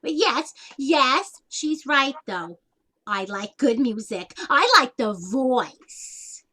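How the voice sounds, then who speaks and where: Actress as older single aunt in stage comedy; sharp bright tone, unhurried delivery, blunt and colloquial. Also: female voice, sits high, animated, exaggerated voice. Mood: awkward, chatty. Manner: wry, plain-spoken, colloquial nonstandard grammar. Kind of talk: one-act stage comedy acting.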